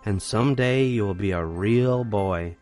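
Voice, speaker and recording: A gentle, solemn storybook fairy, played by a man, speaks very slowly. Each phrase is measured and kind, with faint music behind it. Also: lowish voice, close to an everyday voice. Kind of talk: storybook character voice.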